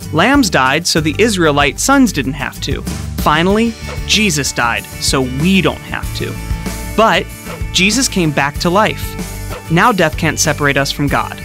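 A man giving a scripted, upbeat storytelling narration for an animated children's Bible video. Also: fairly high pitch, unhurried. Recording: studio voice-over with music underneath.

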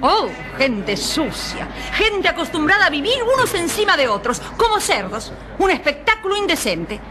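A woman's voice: extremely high pitch, big pitch swings, normal speed, music in the background. An actress in a radio drama playing a snobbish, disgusted, respectable mother. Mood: disgusted, haughty.

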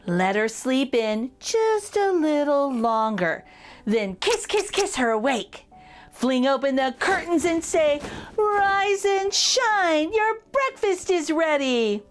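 Slow, animated woman reading to children. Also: sits high. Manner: addresses young listeners directly, playful. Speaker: picture-book reader for children. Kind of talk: expressive children's read-aloud.

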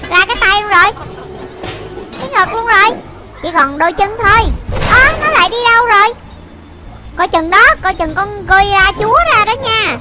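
Very high childlike voice, excited game commentary. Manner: childlike, exclamatory, repeats short phrases. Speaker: game video narrator for children. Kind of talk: excited running gameplay commentary. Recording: loud game music over the voice. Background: loud video game music.

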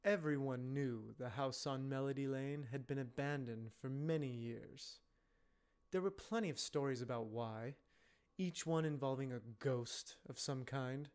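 A man's voice: medium pitch, a little slow, a little mellow, clear, resonant tone. A man in his thirties reading scripted narration into a close desk microphone at home. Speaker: amateur voice actor. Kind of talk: scripted narration, practice reads. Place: home room. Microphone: close desk microphone with pop filter.

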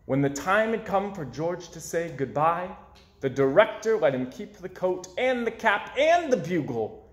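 A man's voice: high voice, sing-song, a little slow. A man, reading a children's story aloud with dramatic emphasis. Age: 20s. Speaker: storybook reader. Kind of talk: expressive children's read-aloud narration. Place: small indoor room with bookshelves. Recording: roomy indoor echo.

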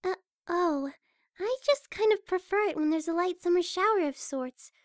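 A woman voicing a shy, gentle young cartoon pegasus pony with a hesitant, stammering delivery. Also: very high-pitched, normal speed, no room sound, clean studio sound. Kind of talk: amateur cartoon fan audio drama.

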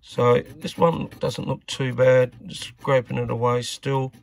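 An Australian man talks through hands-on repair work in a casual, unscripted way. His voice sits at an average pitch with plenty of fry and gravel. He thinks aloud hesitantly, like a hobbyist showing the job as he goes. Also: flat delivery, a little slow, no echo. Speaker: radio repair hobbyist presenter.

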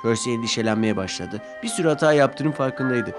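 Male storyteller, children's cartoon narration, animated voice, faint music behind. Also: medium pitch, a little fast. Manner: lively storytelling.

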